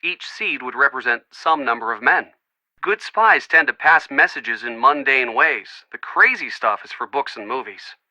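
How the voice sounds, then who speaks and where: A man's voice: mid-range voice, lively intonation, moderate pace, sharp tone, no echo, low-quality recording. An actor playing a friendly, earnest young man in a video game, chatty and reassuring as if on a call. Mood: chatty explaining. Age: young adult. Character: friendly young man, earnest, bookish, supportive boyfriend type. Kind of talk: video game voice acting, phone conversation.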